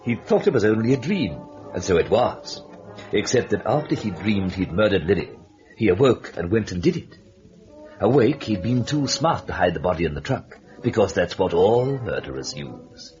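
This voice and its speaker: An actor playing a gleeful, mocking devil who narrates an old-time radio horror tale in a theatrical, sardonic way. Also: male voice, on the low side, very animated, moderate pace. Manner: theatrical, sardonic, chatty with the listener. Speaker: host and storyteller. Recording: dull lo-fi old radio recording, music behind.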